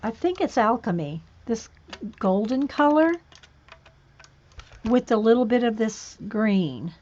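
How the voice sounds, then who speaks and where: A woman hosting a casual painting livestream, chatting with viewers and muttering soft asides while she works at a table. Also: a little high, expressive, slow pace, highs cut off. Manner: chatty, reads and answers viewer comments. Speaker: art livestream host. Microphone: overhead camera on hands and page.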